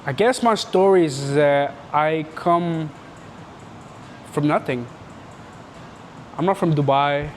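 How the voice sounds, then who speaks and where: A man's voice: on the high side, takes it slowly, pauses often, a little hiss or hum. A young man from Canada, casually telling his life story in an interview, rambling and slangy with lots of filler words.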